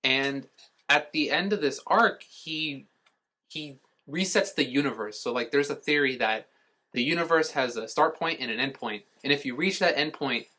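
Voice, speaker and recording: Bright-voiced man chatting casually. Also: mid-range voice, moderate pace. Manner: rambling, explaining, swears casually. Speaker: podcast co-host. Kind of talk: casual chat.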